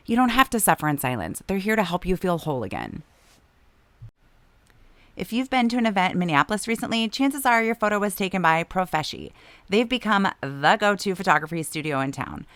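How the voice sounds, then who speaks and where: A woman, hosting a podcast. She is hoarse from a long illness, and her deep, raspy voice has a lot of vocal fry. She chats easily and laughs often. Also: a bit bright, no echo. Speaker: podcast host. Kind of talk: casual chat and read ads.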